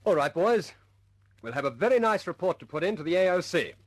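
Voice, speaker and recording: Brisk, high-pitched squadron leader. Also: male voice, big pitch swings. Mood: brisk, pleased. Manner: clipped, commanding, chummy officer talk. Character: brisk male RAF squadron leader, clipped and courteous. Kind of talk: wartime radio drama acting. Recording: old radio recording, background hiss and drone.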